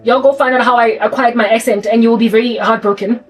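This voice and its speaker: A woman's voice: fairly high pitch, fairly even intonation, quick pace, distant mic, faint music behind. A South African woman with a slight American tinge to her accent, rambling through an unscripted monologue full of rhetorical questions and restarts. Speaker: vlogger.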